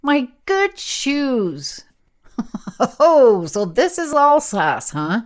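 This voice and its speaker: Actress as exclamatory woman sidekick, radio play, playful, very drawn-out delivery, swooping pitch. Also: big pitch swings, full character voice.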